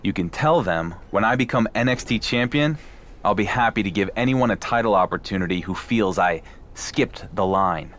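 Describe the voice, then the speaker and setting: An actor playing a self-assured, matter-of-fact champion wrestler in a conversational video game cutscene. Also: male voice, lowish voice, animated, normal speed, a bit bright, slight background noise. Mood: unbothered, confident. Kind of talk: conversational scripted cutscene acting.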